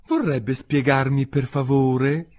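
A man's voice: medium pitch, steady tone, takes it slowly, darkish tone, pitched above the performer's own voice. A man doing a polite, earnest little girl. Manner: earnest and eager, sometimes flustered.

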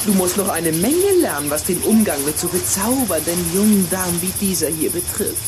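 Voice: medium pitch, expressive, moderate pace, noisy background, natural voice. An actor playing a gallant, haughty boy vampire in a radio play, scolding with pompous courtly politeness. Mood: smug, courtly. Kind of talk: German radio play acting.

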